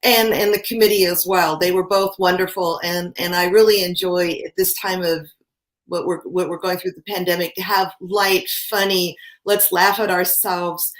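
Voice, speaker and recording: A woman's voice: fairly low pitch, steady tone, moderate pace. A woman from California speaking casually as she gives thanks on a video call.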